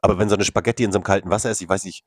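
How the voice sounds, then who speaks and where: A man's voice: low-pitched, fairly even intonation, very fast, breathy edge, no room sound, studio quality. A man chatting casually and jokingly as a podcast co-host. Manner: joking, banter. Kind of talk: casual chat.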